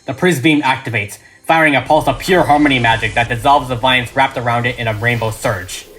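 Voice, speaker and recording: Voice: mid-range voice, fairly quick, fairly bright tone. A narrator reading a script aloud in a dramatic, emphatic, theatrical style.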